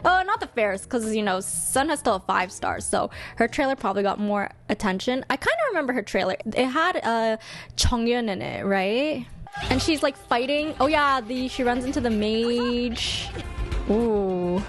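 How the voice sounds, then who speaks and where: A woman with a high, lively voice hosts a casual livestream. She is giggly and expressive, reacting out loud in loose, chatty phrases. Faint music plays behind her. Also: normal speed. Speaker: livestream host reacting to game trailers. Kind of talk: casual live stream commentary. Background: music from game trailers.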